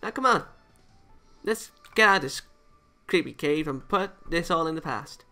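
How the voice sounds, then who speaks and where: A man's voice: on the high side, lively intonation, moderate pace, pushed and bright, faint music behind, voice pushed up. A man voicing a cheerful, optimistic and bossy anime angel girl from a visual novel game. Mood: upbeat, reassuring.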